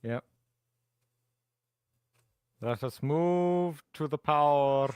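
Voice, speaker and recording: A man's voice: a little high, very slow, darkish tone, smooth, no echo, clean studio sound. A man playing a tabletop roleplaying game, chatting casually as one of the players.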